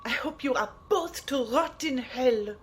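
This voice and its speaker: A woman playing a stern, defiant heroine in an audio drama, with formal, deliberate phrasing. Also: sits high, lively intonation. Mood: defiant anger. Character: defiant, stern heroine facing old enemies. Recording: clear background noise.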